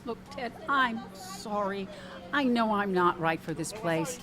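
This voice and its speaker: An actress playing a sardonic, down-on-her-luck waitress in a comedic radio drama, quick-witted, dry and exasperated. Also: medium pitch, animated, heavy background noise, natural voice. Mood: apologetic, touched.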